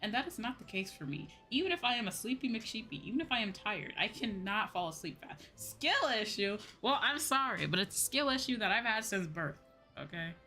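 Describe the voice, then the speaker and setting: Young woman, lively swooping intonation, casual streamer chat, playful, clearly audible music behind. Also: medium pitch, brisk. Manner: animated, playful, conversational. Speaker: VTuber game streamer. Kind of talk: casual livestream chat with viewers.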